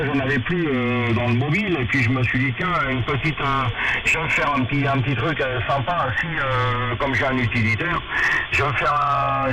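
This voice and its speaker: A man making casual amateur radio conversation, hesitant, repetitive and informal. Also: mid-range voice. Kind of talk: casual radio contact chat. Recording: very noisy radio transmission.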